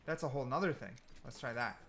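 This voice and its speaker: A man's voice: medium pitch, expressive, fast. A man giving casual, slangy gameplay commentary, thinking aloud as he goes. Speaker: gaming content creator.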